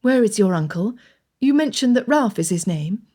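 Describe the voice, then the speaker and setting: A woman voicing a spirited, kind young gentlewoman from a period storybook. Her speech is polite and lively, close to the narrator's own voice. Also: medium pitch, moderate pace, lightly acted. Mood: concerned. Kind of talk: audiobook character voice.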